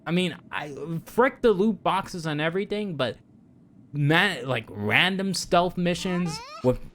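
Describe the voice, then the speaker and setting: A man's voice: high voice, lively intonation, a little slow, a bit bright, a little hiss or hum. A man chatting casually and informally as a game livestreamer, rambling with opinionated, exasperated asides. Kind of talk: casual rambling stream chat about games. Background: background music.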